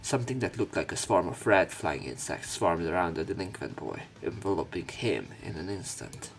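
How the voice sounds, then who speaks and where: A man's voice: sits low, sing-song, normal speed, gravelly. A man reading a visual novel aloud as a let's play, breaking off into casual, irreverent commentary with some swearing. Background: game music.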